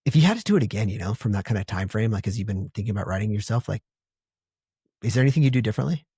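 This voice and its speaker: A man's voice: on the low side, expressive, very fast, dark tone, breathy edge, no echo, clean studio sound. A man hosting a podcast, encouraging and enthusiastic, often stumbling and repeating words. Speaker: podcast host. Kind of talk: casual interview.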